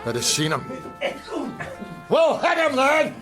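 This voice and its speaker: A man playing a gruff, scornful working-class boxing trainer with a blunt manner in a crime radio drama. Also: very high voice, huge pitch swings, slow pace, music in the background, heavily acted. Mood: barking. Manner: blunt, barking.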